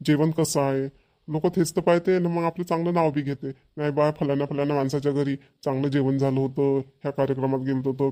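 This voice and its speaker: A man's voice: a little high, dark tone, lightly acted. A man voicing a chatty, contented village family man in a cartoon, speaking rural Varhadi Marathi in an emphatic, repetitive way. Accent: rural Maharashtrian, Varhadi.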